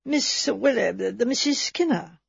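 A woman voicing an elderly lady who speaks in a measured, dry way. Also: fairly high pitch, animated, creaky, breathy edge, dry recording, dull audio, heavily acted.

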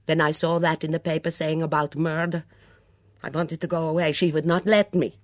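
A suspicious foreign cook , as a reader puts her on, speaks in a thick accent, self-pitying and melodramatic. Her pitch leaps up when she protests. The sound is dry and close. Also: animated, moderate pace, dry recording. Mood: sulky. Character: sullen foreign woman cook, dramatic, suspicious.

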